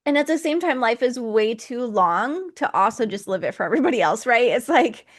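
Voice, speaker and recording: A woman's voice: fairly high pitch, expressive, a little fast. A woman hosting a podcast interview, encouraging and upbeat as she asks questions. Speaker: podcast host. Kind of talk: casual interview talk.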